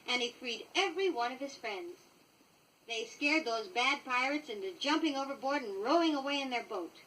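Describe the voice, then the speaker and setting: Female American storybook narrator for children, highish and very animated delivery, noisy vintage 1960s record. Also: distant mic. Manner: expressive, clearly paced storytelling for young children. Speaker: storybook reader.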